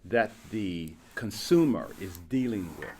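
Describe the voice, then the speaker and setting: A man's voice: lowish voice, lively intonation, a little slow, dark tone. A man hosting an interview, coaching his guest on branding and explaining with everyday examples. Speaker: podcast host and branding coach. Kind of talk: casual interview chat.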